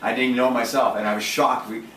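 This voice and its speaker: A man's voice: mid-range voice, level tone, fast talker, fairly bright tone. A man talking in a casual group chat as a dating coach, intense and direct, with lots of restarts. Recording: echoey room from a distance, faint noise.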